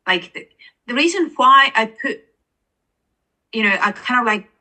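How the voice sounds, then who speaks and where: A woman's voice: fairly high pitch, expressive, unhurried, sharp tone. A woman with an Estonian accent, an author speaking as an interview guest, earnest and searching for words with many restarts. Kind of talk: reflective interview conversation.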